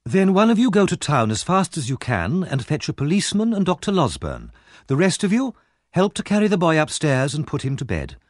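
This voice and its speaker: A gentle young lady of the house as a male narrator puts her on, lifted in pitch. She gives orders politely and with concern, in storybook style. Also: wildly swooping pitch, brisk, dry recording, clean studio sound. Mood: commanding, gentle.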